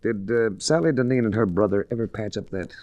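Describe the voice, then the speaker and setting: A tough, wisecracking investigator played by a man in a vintage radio drama. His low voice swings widely in pitch with hard-boiled wryness, recorded close and dry. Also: lively intonation, fairly quick, darkish tone, no echo, lo-fi sound, close to an everyday voice. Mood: probing. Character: wisecracking tough male adventurer-investigator narrating his own case. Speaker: lead actor and narrator. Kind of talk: 1940s radio detective acting. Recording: restored 1940s radio recording.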